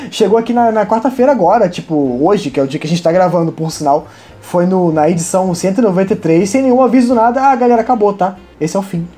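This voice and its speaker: A man with a Brazilian accent, co-hosting a casual podcast from home and presenting nerd news, excitable and quick to swear and laugh. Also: high voice. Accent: Brazilian Portuguese. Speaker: podcast co-host.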